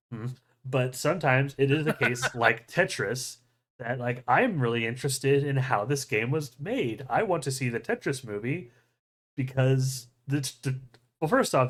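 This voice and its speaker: A man's voice: mid-range voice, fairly bright tone. A man hosting a podcast, chatting casually and enthusiastically as a fan, with plenty of swearing. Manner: profane, enthusiastic fan talk. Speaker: podcast host. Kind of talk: casual chat about movie news.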